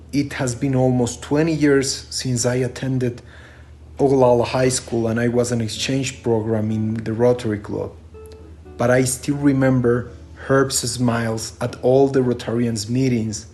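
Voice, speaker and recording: A man's voice: mid-range voice, a little mellow. Man sending sincere condolences. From Ecuador. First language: Spanish. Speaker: former exchange student. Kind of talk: recorded tribute message.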